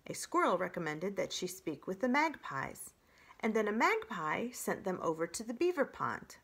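A middle-aged woman reading a children's story aloud in an expressive storytelling style. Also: mid-range voice, moderate pace, husky. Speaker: children's library story reader.

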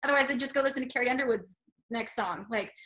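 A woman with a high, bright voice hosts a video-call interview, eager and emphatic. She speaks in her thirties' easy manner, recorded through a webcam in a small room indoors. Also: fast talker. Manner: enthusiastic, emphatic. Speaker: host.

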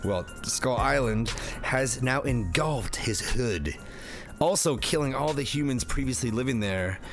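A man's voice: mid-range voice, animated, a little slow. A man hosting a comedic, part-scripted movie review in a slangy, sweary, wisecracking way. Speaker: review show host. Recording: music under the voice.

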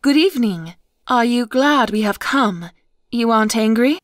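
A woman playing a sweet, trusting, devoted young woman in an audio stage play, gentle and earnest. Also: fairly high pitch, lively intonation, moderate pace, dry recording, clean studio sound, close to an everyday voice. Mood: tender, shy.

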